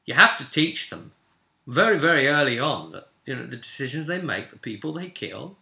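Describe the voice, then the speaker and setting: Bright, forceful man telling game stories. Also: medium pitch, sing-song, normal speed, vocal fry. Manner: rambling, emphatic, acts out quoted remarks. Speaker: game master recapping a session. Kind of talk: animated casual storytelling of a tabletop roleplay session. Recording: echoey, reverberant room.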